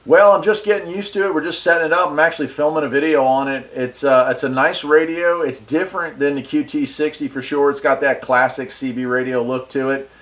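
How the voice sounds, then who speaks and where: A man's voice: on the high side, steady tone, fairly quick, hardly any pauses, fairly bright tone. An American man giving a casual, enthusiastic hobby review with a folksy way of talking, picked up on a wireless mic. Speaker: radio hobbyist and video reviewer. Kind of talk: casual product review and radio chat. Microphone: wireless mic set between him and the radio.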